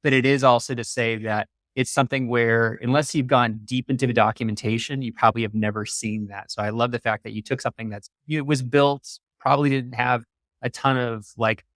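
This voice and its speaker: A man's voice: medium pitch, a bit bright, no echo. A man hosting an interview, speaking conversationally as he comments and puts questions to guests. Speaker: show host.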